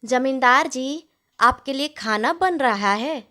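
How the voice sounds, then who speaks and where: A woman's voice: high voice, animated, takes it slowly, pushed and bright, heavily acted. Woman as an eager, deferential household cook. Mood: eager, proud. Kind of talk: storybook character dialogue.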